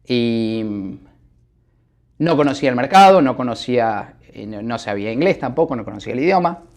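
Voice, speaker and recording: A middle-aged man with an Argentine Spanish accent speaks in a calm, reflective way, often pausing on fillers as he thinks. His voice has an ordinary pitch for a man. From Buenos Aires, Argentina. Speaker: businessman, interview guest. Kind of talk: telling his life story. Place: office.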